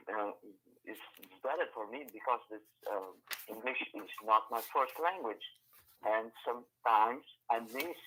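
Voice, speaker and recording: Slow, halting man, native Portuguese speaker. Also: fairly low pitch, sharp tone, low-quality recording. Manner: halting, searches for words. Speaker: student. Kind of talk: speaking up in class. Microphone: conference call.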